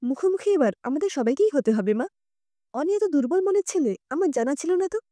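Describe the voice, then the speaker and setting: A woman's voice: sits high, animated, a little fast, mellow, no room sound. A woman voicing a concerned, resolute mother in an audio story, probing and decisive. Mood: stern, resolute. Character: concerned, resolute mother questioning her daughter.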